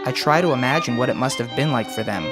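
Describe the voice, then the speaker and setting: A young man narrating a documentary in a measured, earnest, scripted way. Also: medium pitch. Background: violin music.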